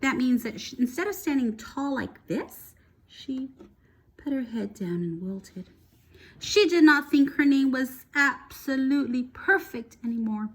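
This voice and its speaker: A woman teacher reading a picture book aloud to children, expressive, pausing to explain and ask questions. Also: high-pitched, husky, rough recording.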